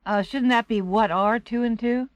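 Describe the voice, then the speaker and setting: A woman's voice: a little high, fairly even intonation, moderate pace, dry recording, lightly acted. An American actress playing a worldly, wisecracking stage woman in a radio drama, tart and blunt. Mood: playful. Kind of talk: 1980s radio drama acting.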